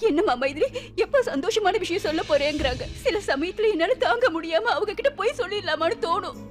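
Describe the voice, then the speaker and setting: An actress playing a young childless wife, crying and pleading in grief. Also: female voice, extremely high pitch, animated, normal speed, music in the background. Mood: tearful grief. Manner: tearful, pleading.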